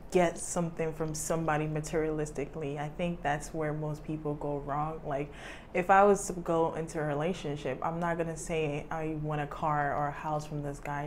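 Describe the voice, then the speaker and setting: A woman's voice: sits low, a little hiss or hum. A young woman, born in Ghana and living in the United States, speaking candidly as a guest in a casual studio interview. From Ghana, raised in the United States. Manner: candid, laughs often, says "um" and "like". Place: studio set with screens, indoors.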